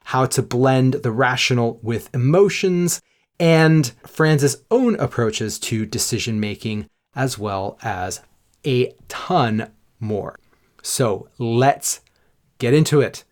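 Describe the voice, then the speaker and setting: An upbeat man hosts a podcast in a mid-pitched voice. He stresses key words by stretching them out and keeps an eager, promotional tone. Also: expressive, slow pace, a little mellow. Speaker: podcast host. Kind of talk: scripted podcast intro and interview.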